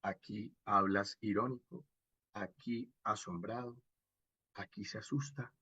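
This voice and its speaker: A man giving an informal online lecture as an instructor, expressive and didactic, often checking in with short questions. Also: fairly low pitch, a little slow, breathy edge, dry recording, dull audio. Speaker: radio drama instructor.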